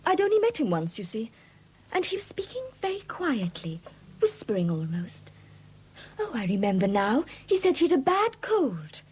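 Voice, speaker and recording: A flighty young woman in a seventies British radio play. Her voice is high and swoops about freely as she talks in a breezy, defensive way. There is faint noise from the old broadcast. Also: huge pitch swings, normal speed, darkish tone. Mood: reluctant, chatty. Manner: breezy, evasive, indignant when challenged. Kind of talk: 1970s British radio drama acting. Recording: hissy old 1970s radio broadcast recording.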